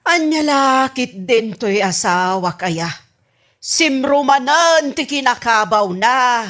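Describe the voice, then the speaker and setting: A woman's voice: high voice, expressive, slow pace, a bit bright, full character voice. A woman acting a nagging, suspicious wife who scolds and questions in an Ilocano radio comedy drama, with an Ilocano accent. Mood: suspicious, exasperated. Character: nagging, suspicious wife of a forgetful old man.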